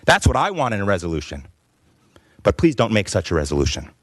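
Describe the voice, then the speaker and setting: A man's voice: fairly low pitch, sing-song, quick pace, no echo, studio quality. A man giving a firm, pleading public comment at a council meeting.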